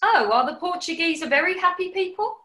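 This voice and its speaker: A woman's voice: extremely high pitch, lively intonation, fairly quick, fairly bright tone, echoey room. A woman playing a plucky, naive English housemaid with an English accent in a 1940s-style radio comedy. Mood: sweet, eager.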